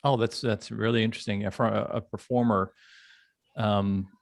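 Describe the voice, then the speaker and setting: A man hosting a casual panel conversation, speaking in a halting, thoughtful way. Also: on the low side, steady tone, creaky, no room sound, studio quality. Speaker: podcast host.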